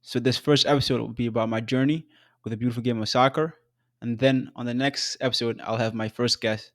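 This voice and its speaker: A man's voice: medium pitch, steady tone, no room sound. Earnest young man, dry close sound. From South Sudan. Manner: measured, earnest delivery with occasional um and restarts. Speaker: podcast host. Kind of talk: scripted solo podcast narration.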